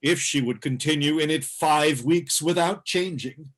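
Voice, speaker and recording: An actor playing a talkative, good-natured old courtier with a husky voice in a Shakespearean radio play, idealistic and gently teasing. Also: medium pitch, unhurried, lightly acted. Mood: earnest, teasing.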